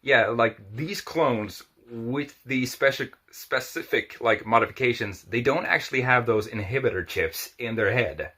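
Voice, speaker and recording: A man in his twenties chatting casually as a podcast guest, with halting, hesitant phrasing. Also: medium pitch, pushed and bright, slightly rough. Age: 20s. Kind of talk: casual chat about film and TV.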